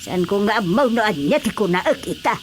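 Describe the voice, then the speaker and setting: A woman's voice: medium pitch, lively intonation, fairly quick, slight background noise, lightly acted. A woman playing a reproachful, exclaiming older mother in a radio drama. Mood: indignant, scolding. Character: scolding older mother, indignant at her son.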